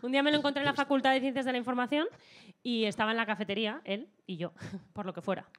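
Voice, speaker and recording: Woman on a bar stage, handheld mic, teasing giggly storyteller, a little high voice. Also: steady tone, fast talker, fairly bright tone. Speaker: guest comedian and actress. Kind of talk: playful comedic banter. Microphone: handheld microphone.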